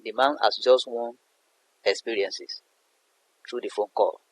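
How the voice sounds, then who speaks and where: A man's voice: medium pitch, fairly even intonation, a little slow, poor audio. A man explaining a poem as a literature teacher, in a didactic way that repeats and rephrases points. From Nigeria. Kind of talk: explanatory poetry analysis lesson.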